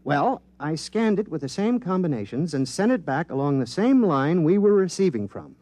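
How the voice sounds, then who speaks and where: An actor playing an eager, absent-minded government scientist in a 1950s radio drama, explaining things in an animated way. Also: male voice, fairly high pitch, normal speed, dry recording. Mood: pleased, matter-of-fact.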